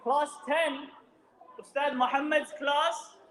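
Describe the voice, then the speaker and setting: Loud high-voiced man announcing prizes. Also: fairly even intonation, slow pace. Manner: loud, projecting over a crowd. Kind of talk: announcing awards to children. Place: mosque hall. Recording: echoey hall.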